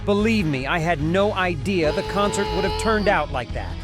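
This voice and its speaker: A man acts out a conciliatory flying-reptile high-schooler in a visual novel dub. His voice sits high and moves expressively as he tries to smooth things over. Loud music runs beneath him. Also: expressive, moderate pace. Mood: pleading, placating. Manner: pleading, placating. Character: conciliatory gray male pterodon high-school student.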